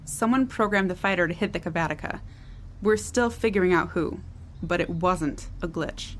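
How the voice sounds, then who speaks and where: A woman's voice: lowish voice, normal speed, a little hiss or hum, lightly acted. A woman playing a weary, blunt spaceship technician in a naturalistic science fiction audio drama. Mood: tired, guarded.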